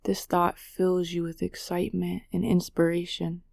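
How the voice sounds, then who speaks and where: A woman narrating a guided meditation in a soothing, measured way with long pauses. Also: fairly low pitch, little pitch movement, vocal fry, a little mellow, no echo, studio quality.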